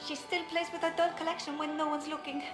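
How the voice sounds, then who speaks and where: A high, girlish young noblewoman, played by a woman in a video game, gushing and hungry for gossip. Her voice rings in a roomy space with music behind it. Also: quick pace, natural voice. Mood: mischievous. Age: young adult. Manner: excitable, gossipy. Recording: roomy echo under music.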